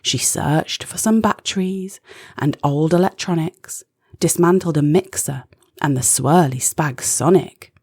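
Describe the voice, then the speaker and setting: A woman narrating a rhyming children's storybook expressively, with deliberate, dramatic phrasing. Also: low voice, darkish tone, husky, no room sound, clean studio sound.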